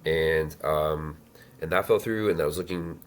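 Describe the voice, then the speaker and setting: A man in his thirties telling his life story as an interview guest, halting with many fillers and restarts. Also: very deep voice. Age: 30s. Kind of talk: reflective personal storytelling.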